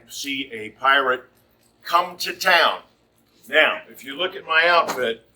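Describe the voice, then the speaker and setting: A man's voice: medium pitch, sharp tone. A man from Virginia in costume gives a chatty lecture to an audience, speaking without a microphone in his own big voice. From Virginia, USA. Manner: chatty and explanatory, with frequent restarts. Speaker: costumed shanty performer and lecturer. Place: indoor event hall. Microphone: no microphone, picked up from across the room. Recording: distant room recording.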